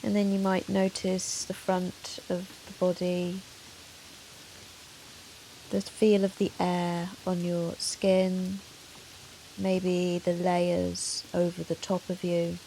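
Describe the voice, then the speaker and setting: A woman yoga teacher leading a guided meditation in a soothing, measured, gentle manner with long pauses. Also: fairly low pitch, completely flat delivery, vocal fry, low-quality recording. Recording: soft hiss of rain noise. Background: soft rain sound.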